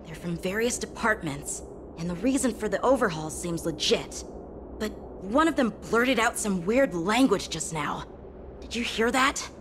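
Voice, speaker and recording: A woman's voice: medium pitch, moderate pace, background noise, natural voice. A woman voicing a confident young swordsman in a game, eagerly laying out a plan. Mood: scheming. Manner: eager lively planning.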